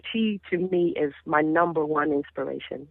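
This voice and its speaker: A woman's voice: deep voice, moderate pace, gravelly, no echo. A woman in her thirties from the Caribbean whose first language is Papiamento, telling stories animatedly with many restarts, heard over a phone line. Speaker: singer, interview guest. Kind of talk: casual interview chat.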